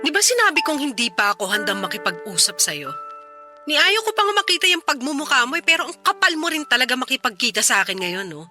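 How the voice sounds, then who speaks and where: A woman's voice: high-pitched, big pitch swings, fast, sharp tone, soft background music, full character voice. A woman voicing a wounded, sarcastic fiancée scolding her cheating man in a Filipino-accented radio drama. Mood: angry, dismissive.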